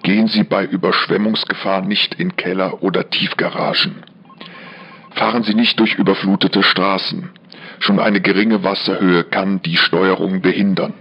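A man reading a formal fire brigade emergency announcement in a measured, official manner, with dull radio sound. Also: sits low, level tone, slightly rough.

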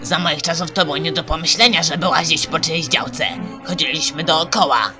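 A man's voice: fairly high pitch, brisk, sharp tone. A man voicing a grumpy, scolding old porcupine in an amateur cartoon fan dub. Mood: grumbling. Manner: cantankerous, scolding, taunting. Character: grumpy old male porcupine guarding his log. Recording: music behind the voice.

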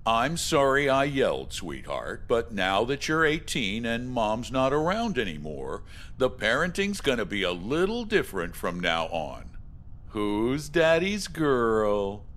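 A man's voice: medium pitch, sing-song, a little slow, fairly bright tone. An actor playing a middle-aged American father in a visual novel game, meek and stammering or raging. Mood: stern, soothing. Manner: stammering when meek, bellowing when angry. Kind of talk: voiced visual novel acting.

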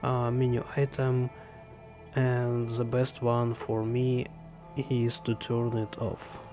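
A man narrating a casual gaming tutorial in a halting way, pausing mid-phrase. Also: mid-range voice, monotone. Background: background music.

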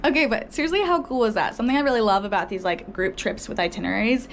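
A woman's voice: mid-range voice, quick pace, faint music behind. A young woman talking to camera as a travel vlogger, chatty and enthusiastic with many fillers, recorded indoors on a close camera. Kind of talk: casual talk to camera. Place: indoors by a window.

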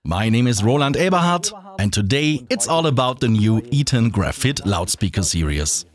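A man's voice: mid-range voice, big pitch swings, moderate pace. A middle-aged man with a German accent giving a scripted product presentation in a studio. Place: small dark studio with acoustic foam. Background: faint background music.

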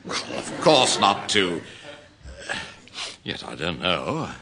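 An actor playing a bumbling middle-aged civil servant in a radio comedy, genteel, fussy and deferential, with a British accent. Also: male voice, low voice, very animated, slow pace, gravelly, a crowd in the background, heavily acted. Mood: puzzled. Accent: British English. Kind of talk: 1960s radio situation comedy acting. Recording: slightly noisy vintage radio recording.

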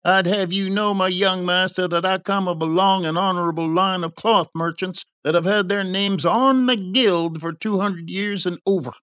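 A man's voice: sits high, fairly even intonation, normal speed, hardly any pauses, a bit bright, clear, resonant tone, no echo, clean studio sound, pitched above the performer's own voice. A man doing a haughty, indignant old-world merchant. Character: proud, impatient cloth merchant, scornful of peasants. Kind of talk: audiobook character acting.

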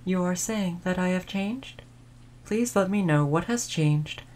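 A woman playing a gentle, dutiful female android servant in an audio roleplay, speaking in formal, polite, measured phrases. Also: lowish voice, close to an everyday voice. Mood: earnest, reflective. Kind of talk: audio roleplay addressed to the listener.

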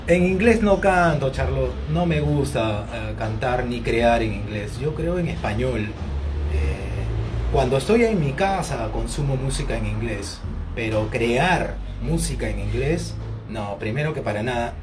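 A Peruvian man in his forties talks casually to fans through a phone held at arm's length, his voice sitting at an ordinary male pitch. He speaks in a relaxed, unhurried way, filling his pauses with hesitant "eh"s. Steady outdoor noise runs under his voice. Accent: Peruvian Spanish. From Peru. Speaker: singer answering fans. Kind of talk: casual live chat with fans. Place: outdoors in bright sun by a glass building. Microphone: phone held at arm's length, wireless earbuds.

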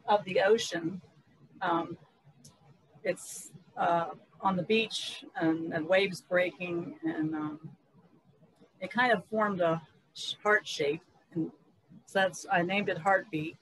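A woman's voice: on the low side, slow pace, slight background noise, low-quality recording. An older woman chatting casually from home on a video call. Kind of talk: casual chat.

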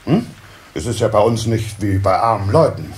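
An actor playing a doting, excitable water-sprite father in a children's audio play, hemming and easily delighted. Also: on the low side, moderate pace. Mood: proud, planning.

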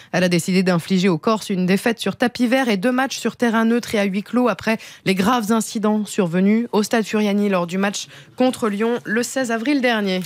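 A woman's voice: mid-range voice, no room sound, studio quality. A woman in her thirties reading a scripted radio news bulletin. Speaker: radio newsreader. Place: small radio studio. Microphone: close studio microphone with headset monitoring.

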